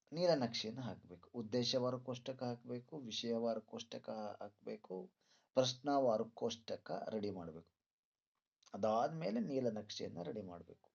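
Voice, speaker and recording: A man's voice: medium pitch, gravelly, a little mellow. A male teacher lecturing students through exam questions, didactic, repeating points and posing rhetorical questions. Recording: dull lo-fi sound.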